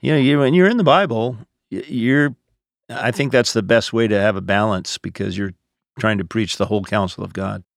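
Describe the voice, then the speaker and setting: Gravelly, low-pitched mature man explaining. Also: normal speed, no room sound, studio quality. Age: middle-aged or older. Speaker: pastor and theology teacher answering questions. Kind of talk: casual explanatory podcast talk.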